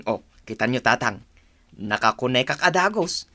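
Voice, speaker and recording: A man's voice: medium pitch, animated, normal speed, sharp tone, dry recording. A man playing an eager, coaxing son in an Ilocano radio drama, with an Ilocano Filipino accent.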